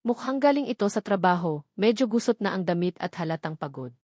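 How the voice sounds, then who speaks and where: A woman's voice: fairly low pitch, animated, fairly quick, darkish tone, dry recording, studio quality. A woman reading scripted, dramatic narration as the storyteller of a romance audio story.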